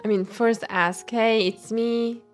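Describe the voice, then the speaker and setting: Girl streamer doing casual gameplay commentary, slow relaxed pace, reactive, game music behind. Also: fairly high pitch. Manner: chatty, reactive.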